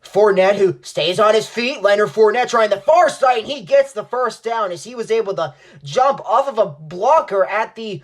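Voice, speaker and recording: A man's voice: high-pitched, moderate pace. A young man giving play-by-play football commentary as a sportscaster. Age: young adult.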